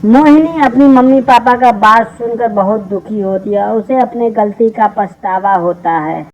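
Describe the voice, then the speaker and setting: Woman narrator reading out a story, kinda high voice. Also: a little slow, darkish tone, muffled recording.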